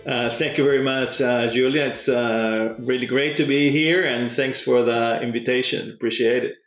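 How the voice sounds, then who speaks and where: An older man with a Peruvian accent answers interview questions conversationally, pausing often as he thinks. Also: mid-range voice, fairly even intonation, fairly bright tone, faint music behind. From Lima, Peru. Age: 50s or older. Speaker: podcast guest, pet food industry consultant. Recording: roomy echo, dull lo-fi sound.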